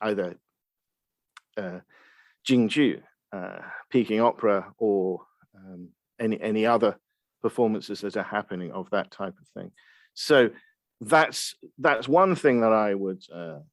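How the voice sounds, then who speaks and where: A man speaking as an expert podcast guest, explaining things in a halting, scholarly way. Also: lowish voice, dry recording. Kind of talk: explanatory interview talk.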